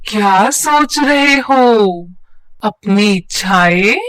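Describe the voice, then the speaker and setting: A woman's voice: medium pitch, animated, very slow, a bit bright, dry recording. A woman voicing a mysterious, commanding magical book in a children's moral story. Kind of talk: animated moral story dialogue.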